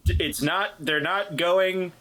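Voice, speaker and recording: A player on a tabletop game podcast, joking casually in a halting, self-correcting way. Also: mid-range voice, a loud crowd. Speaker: player on actual play podcast.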